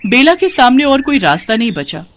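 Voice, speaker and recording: Female storyteller, scripted fairy-tale narration, dramatic, wide pitch swings, faint noise in the recording. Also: sing-song, a little fast.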